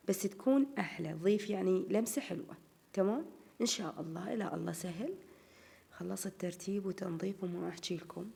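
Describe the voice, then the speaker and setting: A woman's voice: medium pitch, vocal fry, dark tone. A woman with an Iraqi Arabic accent, narrating her home routine in casual chat to her viewers, her voice a little tired and strained. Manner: chatty, addresses viewers as girls. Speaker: home vlogger.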